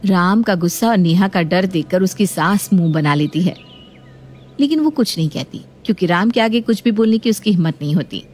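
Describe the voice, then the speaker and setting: A woman's voice: on the low side, lively intonation, moderate pace, darkish tone. A woman narrating a scripted family story as a storyteller.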